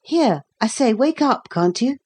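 Storybook soldier, voiced by a woman: brusque man, bossy and blunt, animated delivery. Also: on the high side, measured pace, slightly rough, voice pushed up. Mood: impatient. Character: brusque male soldier in a red coat.

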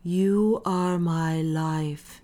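Woman voicing a slow, quavering, fervent male zealot. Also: lowish voice, steady tone, warm tone. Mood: rapturous devotion. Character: anguished male zealot in rapturous religious confession. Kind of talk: dramatic audiobook character reading.

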